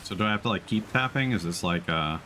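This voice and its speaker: A man's voice: lowish voice, animated, quick pace, sharp tone, slight background noise. A man doing casual live game commentary, musing and thinking aloud as he plays. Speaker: game streamer. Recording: faint background game ambience. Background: game ambience.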